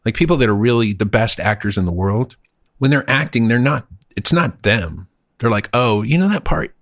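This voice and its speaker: A man's voice: lowish voice, moderate pace, no room sound, clean studio sound. A man chatting casually as a podcast co-host, rambling and acting out other people's voices. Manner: animated, rambling, acts out voices. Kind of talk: casual chat.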